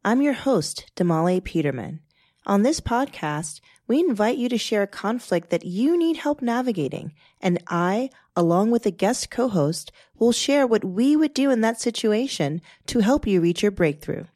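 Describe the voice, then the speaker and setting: A middle-aged woman hosting a podcast in a studio, effusive and quick to laugh. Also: medium pitch, animated, a little mellow. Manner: bubbly, laughs often, effusive. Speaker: podcast host. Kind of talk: casual podcast chat.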